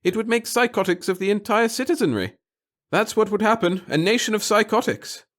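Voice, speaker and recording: A man's voice: sits high, animated, fairly quick, a bit bright, voice pushed up. A man voicing a small, nervous, fussy bureaucrat in an audiobook, speaking in formal, wordy, obsequious sentences. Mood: fretful. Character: little wizened fussy nervous male bureaucrat with hidden cunning. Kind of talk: audiobook character acting.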